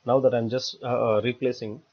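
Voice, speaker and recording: A man narrating a step-by-step software tutorial in a halting, explanatory way. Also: mid-range voice, little pitch movement. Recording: dull lo-fi voice-over recording.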